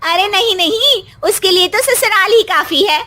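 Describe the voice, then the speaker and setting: A woman voicing a sharp-tongued young daughter-in-law in a cartoon story, theatrically complaining and sarcastic. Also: extremely high pitch, moderate pace. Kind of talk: Hindi cartoon story dubbing.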